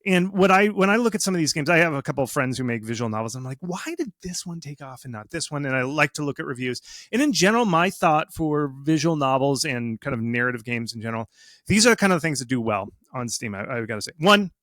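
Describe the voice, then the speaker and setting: A man giving advice as a guest expert in a casual interview, speaking in an animated, informal, self-deprecating way. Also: mid-range voice, quick pace, no echo, studio quality. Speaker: guest game marketing expert. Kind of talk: casual interview talk, explaining and advising.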